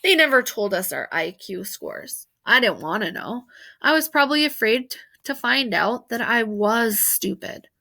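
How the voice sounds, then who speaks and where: A woman reading a children's novel aloud in an expressive, animated storytelling manner, with emphasis on key words. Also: a little high, moderate pace, vocal fry. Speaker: storybook reader.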